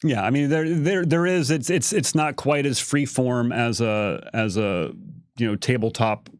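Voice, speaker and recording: A man answering questions on a casual livestream as a creative director, halting and repeating words as he thinks. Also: medium pitch, smooth, no echo, studio quality.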